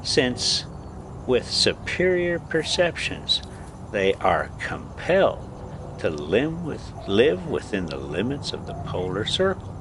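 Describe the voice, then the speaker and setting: A man reading a story aloud as an amateur host, halting and stumbling over words now and then, with casual delivery. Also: medium pitch, wildly swooping pitch, background noise. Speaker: host reading stories aloud for a pet video channel.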